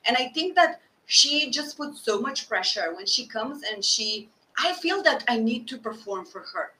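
A woman hosting a podcast speaks with a bright, fairly high voice, lively and animated. She sounds distant from the mic in an echoey room. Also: moderate pace. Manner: lively, expressive, animated retelling. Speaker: podcast host. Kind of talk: conversational podcast interview.

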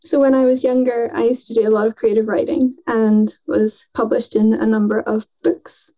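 An earnest, articulate woman in her thirties, talking thoughtfully in a podcast conversation. Her voice is mellow and warm, pitched a little high. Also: flat delivery, normal speed, poor audio. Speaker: mission worker.

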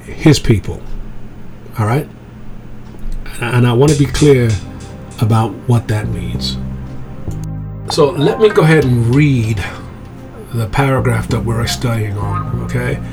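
A man's voice: mid-range voice, slow, long pauses, over music. Man giving a casual Bible talk. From grew up in England. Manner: conversational, checks in with "okay?" and "right?". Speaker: Bible study teacher. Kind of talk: informal Bible teaching podcast.

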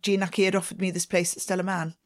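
A middle-aged woman with a Swedish accent answers questions about her work as a ballet teacher. Her voice sits a little low, and she talks in a chatty way, laughing often. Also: flat delivery, quick pace. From Sweden. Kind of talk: interview answers.

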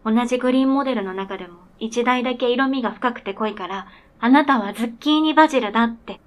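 A woman's voice: fairly high pitch, quick pace, natural voice. A woman playing an earnest, polite talking snowmobile in a radio drama, speaking formally and matter-of-factly.

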